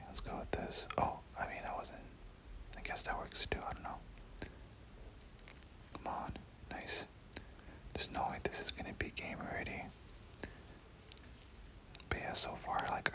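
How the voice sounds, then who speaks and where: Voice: normal speed, long pauses, rough recording. A gamer whispering casual, slangy ASMR commentary over gameplay, often repeating words, close to the microphone. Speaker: gaming video host.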